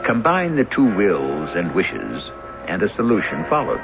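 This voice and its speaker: A man hosts a scripted radio mystery. His deep voice swings expressively and sounds portentous and rhetorical, with music playing behind him on a vintage broadcast recording. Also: wildly swooping pitch, unhurried, highs cut off. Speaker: host and narrator.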